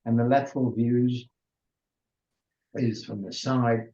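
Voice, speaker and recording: Older male lecturer, first language Hungarian; slow, restarting delivery, dull lo-fi recording. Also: mid-range voice, little pitch movement, mellow. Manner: unhurried, with many restarts and fillers. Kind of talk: informal lecture.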